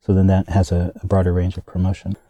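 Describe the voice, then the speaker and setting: Deep, dark-voiced man rambling. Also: monotone, fast talker, no echo. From United States. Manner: rambling, many fillers and restarts, laughs often. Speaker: guest. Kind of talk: casual interview conversation.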